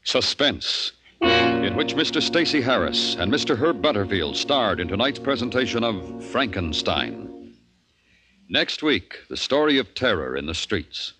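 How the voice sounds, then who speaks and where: A man's voice: on the low side, big pitch swings, unhurried, music in the background. An American man announcing credits in a scripted 1950s radio style.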